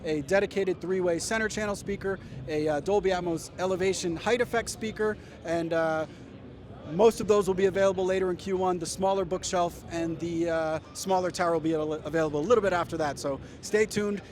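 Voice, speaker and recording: A man's voice: sits high, fairly even intonation, a little fast, darkish tone. A man explaining products off the cuff as a company representative, in an eager, explanatory way. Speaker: company presenter. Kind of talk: unscripted product presentation. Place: trade show. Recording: music behind the voice.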